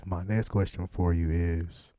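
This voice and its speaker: A man's voice: low-pitched, fairly even intonation, unhurried, dark tone, no room sound, studio quality. A man hosting a casual interview, talking in slangy, joking banter. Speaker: interviewer and host.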